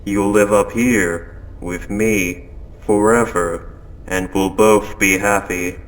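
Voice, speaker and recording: Man voicing a slow, low-pitched, controlling spaceship AI. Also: rough recording. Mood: coldly insistent. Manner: measured, polite, coldly insistent, deliberate pauses. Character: calm, controlling spaceship AI gone quietly insane. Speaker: audio roleplay performer. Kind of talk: scripted second-person audio drama monologue.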